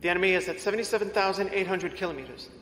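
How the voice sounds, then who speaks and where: A man's voice: high-pitched, very fast, fairly bright tone. A man playing a dutiful, formal shipboard aide in an audio drama, reporting with precision. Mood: alert, dutiful. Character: dutiful, formal male aide serving his mistress. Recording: echoey reverb, faint noise.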